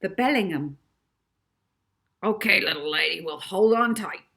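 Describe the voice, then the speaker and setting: A woman voicing a boastful, world-wary male New York cab driver with a deep, husky voice in a storybook reading. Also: lively intonation, a little slow, bright, forceful tone, full character voice. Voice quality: husky, deeper.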